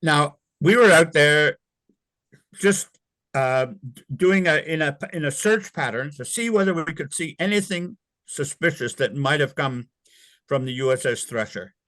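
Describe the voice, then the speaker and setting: A man's voice: on the high side, a bit bright, no echo. Older man, interview guest, rambling stop-start delivery, dry close sound. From born in England, raised in Canada. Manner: halting, with many fillers and restarts. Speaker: interview guest, former navy sailor. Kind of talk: casual recollection in an interview.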